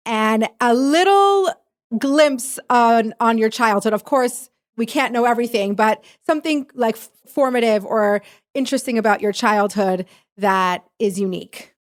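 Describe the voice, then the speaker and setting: A woman's voice: fairly high pitch, a little slow. A woman hosting a podcast, chatty and enthusiastic, talking casually with a guest. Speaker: podcast host. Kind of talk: casual conversation.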